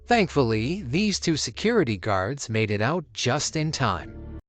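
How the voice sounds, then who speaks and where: A man's voice: mid-range voice, big pitch swings, measured pace, slight background noise. A man reading scripted narration for a video compilation.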